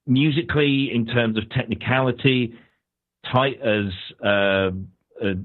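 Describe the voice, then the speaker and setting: A man's voice: medium pitch, a little slow. British man on a tinny phone line. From United Kingdom. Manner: rambling, hedging with many fillers and restarts. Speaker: guest panelist. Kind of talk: casual music review on a podcast.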